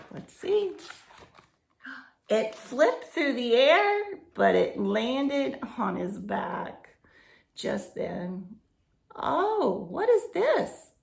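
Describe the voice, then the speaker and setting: Animated woman reading to children, gravelly edge. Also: high voice. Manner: animated, drawn-out phrasing, asks the listeners questions. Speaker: storyteller for young children. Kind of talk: expressive picture-book read-aloud with asides to children.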